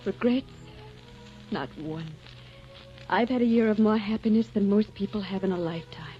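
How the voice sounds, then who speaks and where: An actress playing a tender, devoted young woman in a doomed romance, with soft, wistful delivery in 1940s radio drama style. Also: mid-range voice, normal speed, close to an everyday voice. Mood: tender, fearful. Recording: dull old radio recording, soft music behind.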